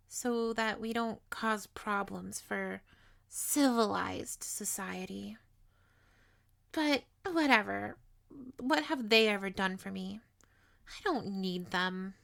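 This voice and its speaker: High-voiced, possessive lamia girl, played by a woman. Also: a bit bright. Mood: matter-of-fact, wry. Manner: coaxing, teasing, addressing listener with pet names. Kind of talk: intimate roleplay audio drama monologue.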